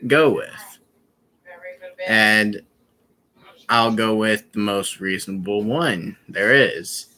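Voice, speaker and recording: A young man chatting casually to his viewers on a live stream, rambling and halting, with many fillers and restarts. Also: lowish voice, pushed and bright, low-quality recording.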